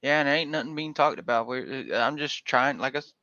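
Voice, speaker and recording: A man from Georgia hosts a livestream panel in a rambling, folksy way, leaning on "uh" and "um" between thoughts. His voice sits at an ordinary middle pitch and comes through dull and lo-fi. The audio is bone dry, with no room sound around it. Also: fairly quick, bright, forceful tone, no echo. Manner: rambling, folksy, with frequent fillers. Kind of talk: casual livestream chat.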